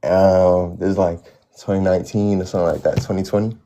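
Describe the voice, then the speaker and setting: A man in his mid-twenties calling in to a stream, talking in a laid-back, slangy way and swearing freely. His voice is low and dark. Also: little pitch movement, a little fast, a little hiss or hum. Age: 20s. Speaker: caller. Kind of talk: casual chat.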